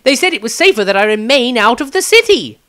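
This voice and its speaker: A man voicing a cowardly, cocky apprentice wizard in a fantasy audiobook, excitable and theatrical. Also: fast talker, full character voice. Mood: indignant. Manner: exclaiming, high-strung, theatrical.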